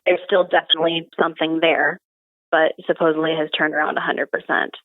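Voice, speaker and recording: A woman's voice: low-pitched, little pitch movement, brisk, no room sound. A young woman telling personal stories casually as a podcast guest, conversational and matter-of-fact, over a remote call with narrow phone-like audio. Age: young adult.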